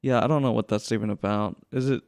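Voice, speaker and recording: A man chatting casually as a podcast co-host, grumbling in a halting, informal way. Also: lowish voice, steady tone, creaky, darkish tone, clear, resonant tone, no room sound, clean studio sound. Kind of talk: casual chat.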